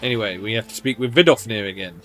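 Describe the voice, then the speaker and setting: A man's voice: fairly low pitch, fast talker, fairly bright tone, soft background music, low-quality recording. A man live-streaming a game, chatting casually and reading out the story dialogue as he plays. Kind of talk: live let's play, reading game dialogue aloud in character voices.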